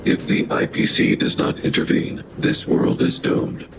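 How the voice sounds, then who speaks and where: A man voicing a big, formal combat robot in a measured, emotionless, synthetic manner. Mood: impassive, formal. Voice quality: very deep, gravelly. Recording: loud music behind.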